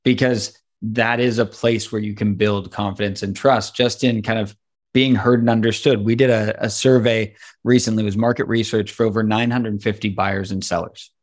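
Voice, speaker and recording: A man's voice: fairly low pitch, steady tone, moderate pace. A man hosting a podcast interview, speaking thoughtfully with hesitant restarts and open enthusiasm. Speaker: podcast host.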